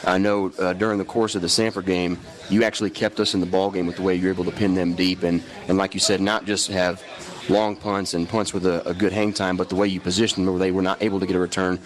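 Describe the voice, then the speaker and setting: Deep, even-pitched male sports host. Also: quick pace. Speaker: sports show host and analyst. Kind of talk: sports interview and analysis.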